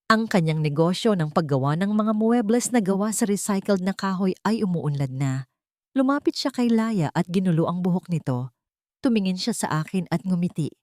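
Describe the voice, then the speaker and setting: A woman's voice: medium pitch, darkish tone, dry recording, clean studio sound. A woman reading a scripted dramatic first-person story as an audiobook narrator.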